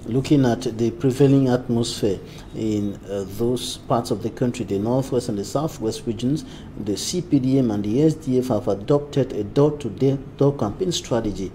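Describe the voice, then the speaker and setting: Measured male news anchor. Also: medium pitch, dark tone, a little hiss or hum. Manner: measured, asks long framed questions. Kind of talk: news interview.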